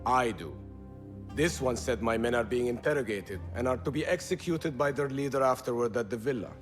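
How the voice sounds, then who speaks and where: An actor playing a hard, ruthless and proud rebel leader in a video game, curt and commanding. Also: male voice, mid-range voice, fairly quick, faint music behind, natural voice. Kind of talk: video game cutscene acting.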